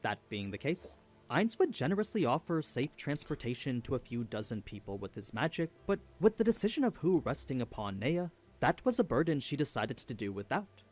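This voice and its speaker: A man narrates a scripted story summary in a mid-pitched voice. His intonation moves up and down a lot, giving the recap an animated, storytelling shape. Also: fairly quick.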